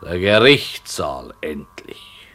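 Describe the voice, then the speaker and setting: A man playing a measured, ironic writer in a German radio drama, describing scenes in deliberate, halting short phrases. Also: sing-song, sharp tone, full character voice. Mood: brooding, descriptive. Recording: faint rumbling background noise.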